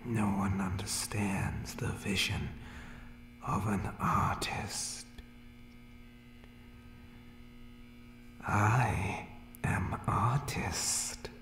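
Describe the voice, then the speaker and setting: A man's voice: deep voice, expressive, drawn-out words, a bit bright, roomy sound, exaggerated voice. A man voicing a monstrous, obsessive barber creature in whispered, broken, repetitive speech for a horror ASMR roleplay. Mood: eerie, curious. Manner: broken, clipped speech with repeated words. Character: monstrous male barber creature from dark places, obsessed with cutting.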